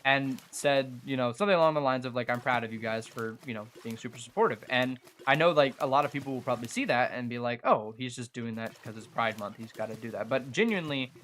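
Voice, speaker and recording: A young man talks casually as he plays a game for his viewers. His voice sits at an average male pitch. He rambles in an earnest, halting way, often thinking out loud. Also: a little hiss or hum. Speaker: gaming streamer and video creator. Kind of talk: casual chat over live gameplay.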